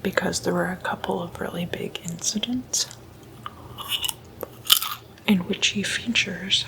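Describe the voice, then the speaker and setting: Slow whispering woman, creaky and crisp. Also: lowish voice, low-quality recording. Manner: hushed, unhurried, short halting phrases. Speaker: ASMR host. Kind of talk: whispered ASMR, informal lecture on demon lore.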